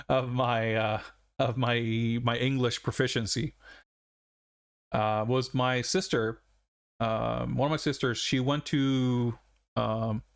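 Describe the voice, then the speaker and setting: A man's voice: mid-range voice, gravelly, fairly bright tone. A man in his forties from Sweden, a native Swedish speaker, chatting casually on a game stream in a rambling, reflective way, chuckling often. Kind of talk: casual live-stream chat.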